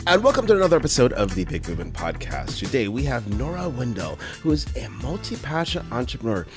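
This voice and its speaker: A man's voice: mid-range voice, very animated, fast, over music. An upbeat man hosts a business podcast, with a voice in the middle range. He talks in an easy, conversational way, leaning on words when he makes a point. It sounds like a casual interview chat. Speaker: podcast host.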